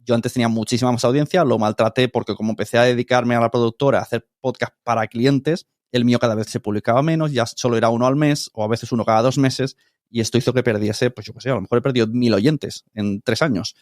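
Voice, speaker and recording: A man's voice: mid-range voice, fairly even intonation, quick pace, a little mellow, dry recording, clean studio sound. A middle-aged man with an accent from Spain, talking casually and informally as an interview guest, explaining with examples. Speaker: podcast producer, interview guest.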